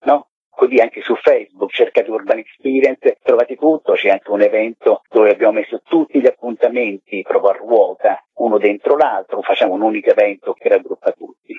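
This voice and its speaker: Husky mature man on a tinny phone line. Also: on the low side, fairly even intonation, fairly quick, dry recording. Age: middle-aged or older. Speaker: event curator being interviewed. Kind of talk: conversational radio interview. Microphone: telephone.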